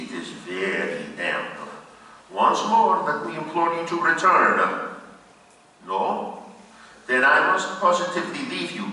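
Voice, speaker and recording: A man plays a vengeful nobleman, icily polite and theatrical. His slow, sharp voice swoops dramatically from high to low as he mocks with courtesy. It rings out in a big reverberant hall, far from the microphone. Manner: slow, deliberate, mockingly polite. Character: cold, courteous, vengeful nobleman leading his victim to doom. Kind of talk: theatrical radio play acting. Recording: echoey hall, far from mic, faint noise.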